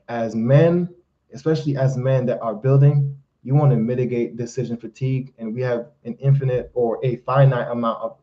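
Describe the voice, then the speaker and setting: Creole-native guy coaching men on a live stream, preachy and punchy, warm darkish voice, kinda dull lo-fi audio. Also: mid-range voice. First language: Haitian Creole. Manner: emphatic and preachy, calls listeners fellas and brother. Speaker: host and life coach. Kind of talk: live-stream Q&A, motivational advice.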